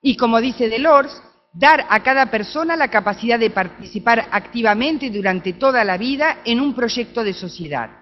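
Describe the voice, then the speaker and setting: Argentine woman giving a conference talk, kinda high animated voice, dull lo-fi recording. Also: moderate pace. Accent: Argentine Spanish. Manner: animated, with frequent tag questions. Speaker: conference speaker. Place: conference room.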